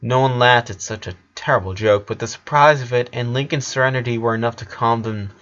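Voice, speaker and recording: A man's voice: medium pitch, fairly quick, fairly bright tone. A man reading a story aloud as scripted narration, in the role of narrator. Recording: dull lo-fi recording.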